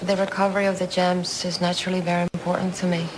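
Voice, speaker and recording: Female actor, thirtyish private investigator for audio drama: smooth, measured, lowish voice over clear background noise. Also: completely flat delivery, close to an everyday voice. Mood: smooth, cool. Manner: measured, polite. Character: poised woman insurance investigator around thirty, smooth, businesslike.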